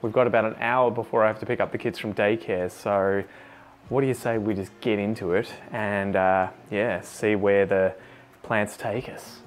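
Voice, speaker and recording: A man's voice: on the low side, steady tone, moderate pace. A man in his thirties with an Australian accent, chatting casually and thinking aloud as he does plant chores in his lounge room. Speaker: plant vlogger. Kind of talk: casual chat while doing chores. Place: lounge room full of houseplants.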